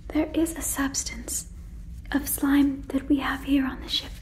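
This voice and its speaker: A woman playing a gentle, curious, naive female alien in an ASMR roleplay, in a breathy whisper with stilted, formal, careful phrasing, close on the microphone. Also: high voice, little pitch movement, a little slow, rough recording. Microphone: close fluffy microphone.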